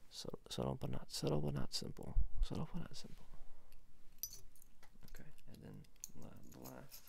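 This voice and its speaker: A man playing a sly, secretive ring salesman in a whispered ASMR roleplay, speaking close to the microphone in halting, repetitive patter. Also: level tone, a little hiss or hum. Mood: low-key sales patter. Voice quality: breathy whisper mixed with creaky low murmur.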